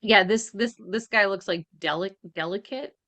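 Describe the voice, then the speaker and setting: A woman's voice: medium pitch, normal speed, fairly bright tone, no room sound. A woman co-hosting a podcast in casual reaction chat. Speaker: podcast co-host.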